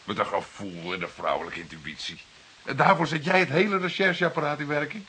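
An actor playing a skeptical, outspoken junior police detective in a Dutch radio drama, argumentative and emphatic. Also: male voice, fairly high pitch, animated, fairly quick, sharp tone. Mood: exasperated, skeptical. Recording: hissy old radio play recording.

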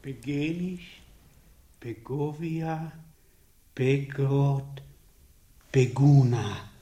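An actor playing a diligent monk librarian in a German radio play, speaking hesitantly and respectfully. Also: male voice, mid-range voice, extremely slow, warm tone. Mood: searching, attentive. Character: diligent, deferential monk librarian, concerned and careful. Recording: roomy, echoing studio scene.

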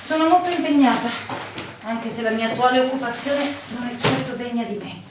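An actress plays a proud, wounded fiancée in a stage-style radio drama. Her voice is fairly high and comes from a distance in a very reverberant room. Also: brisk, close to an everyday voice. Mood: cold, hurt. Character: proud, disillusioned woman, a fiancée turning away. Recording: very echoey, distant, clearly noisy room recording.

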